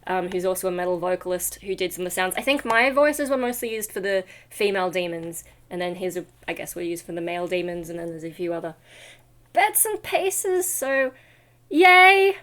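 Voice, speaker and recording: A woman's voice: on the low side, lively intonation, moderate pace, fairly bright tone. A tired-sounding woman chatting in a casual, rambling vlog style, scattered and self-deprecating. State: tired, not fully awake. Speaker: vlogger and metal vocalist.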